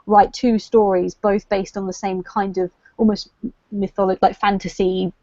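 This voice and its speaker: A woman's voice: medium pitch, level tone, normal speed, mellow, no room sound. A woman co-hosting a casual book discussion livestream, thinking aloud and hedging as she chats. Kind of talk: casual chat. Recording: dull, lo-fi livestream audio.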